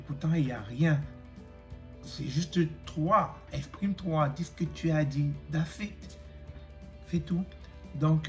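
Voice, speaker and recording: Guy with a cold giving a motivational vlog talk, mellow voice, lots of euhs, faint music behind. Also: fairly high pitch. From Africa. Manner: hesitant, many fillers, direct address. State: has a cold, clears throat. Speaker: YouTuber.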